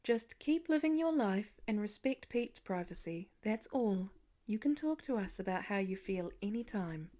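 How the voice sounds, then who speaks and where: Woman as a calm, practical, loving mother. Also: medium pitch, moderate pace, lightly acted. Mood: soothing.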